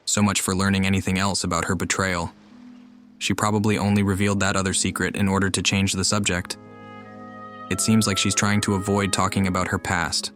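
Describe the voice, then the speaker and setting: A calm young game traveler whose thoughts come out in a deep, flat voice. He sounds dry and low-key, with faint game music behind him. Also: fairly quick. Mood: musing. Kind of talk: game cutscene voice acting.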